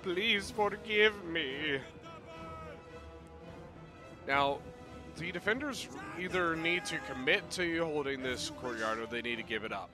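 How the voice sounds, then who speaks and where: A man casting a strategy game battle play-by-play in a chatty, excitable way, his voice a little off as he gets over being sick. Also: fairly high pitch, slow pace, long pauses, over music. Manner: chatty, excitable, bursts of loud exclamation. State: voice a little off from recent illness. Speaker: game commentator.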